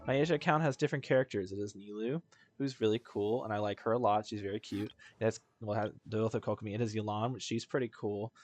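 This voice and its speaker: A man's voice: fairly low pitch, fairly even intonation, fast, no echo. A man chatting casually as he streams a video game, rambling in a slangy, jokey way. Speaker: live streamer.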